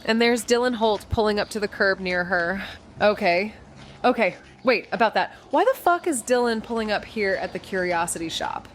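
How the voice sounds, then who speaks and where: A woman's voice: mid-range voice, lively intonation, normal speed, slight background noise, lightly acted. An actress playing a fierce, sarcastic young detective in a noir audio drama, blunt and rambling as she tells her story. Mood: sardonic, agitated. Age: young adult. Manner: sardonic, blunt, rambling, self-interrupting. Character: Amy, fierce sarcastic foul-mouthed young female detective. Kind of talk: first-person noir audio drama narration.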